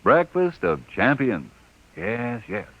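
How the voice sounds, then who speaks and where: An American man delivers a folksy mid-century radio commercial. His intonation is extremely animated, rising and falling freely. The recording is dull and old-fashioned. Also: medium pitch, slow pace, heavy background noise. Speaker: sponsor announcer. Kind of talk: 1950s radio advertisement, folksy sales pitch.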